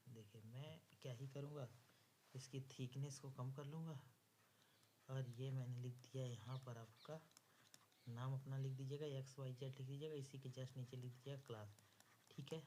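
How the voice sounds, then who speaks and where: A man's voice: mid-range voice, fairly even intonation, moderate pace, mellow, a little hiss or hum. A man with an Indian accent teaching an exam lesson, dictating step by step and repeating phrases word by word as he writes. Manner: instructive, repeats phrases word by word while writing.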